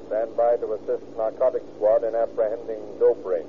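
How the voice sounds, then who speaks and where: A male police dispatcher reads a clipped official bulletin in a fairly low, even voice. It comes over an old police radio, very thin and phone-like, with clear noise. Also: moderate pace. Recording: tinny, noisy 1930s police radio broadcast.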